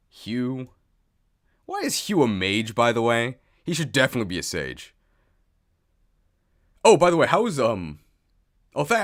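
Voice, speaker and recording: A man hosting a livestream, chatting casually and thinking aloud in a jokey, self-deprecating way. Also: medium pitch. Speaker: streamer, video host. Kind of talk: casual livestream chat while setting up.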